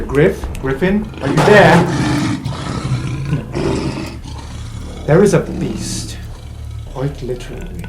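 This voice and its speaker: A man voicing a commanding, impatient male wizard professor in a tabletop roleplay. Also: mid-range voice. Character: bossy, brusque male wizard professor, Dean Valentin. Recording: roomy sound with music behind.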